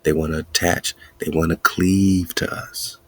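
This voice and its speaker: A man hosting a podcast, riffing excitedly in casual commentary with wordplay and frequent laughter. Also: low-pitched, measured pace, dry recording. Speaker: podcast host.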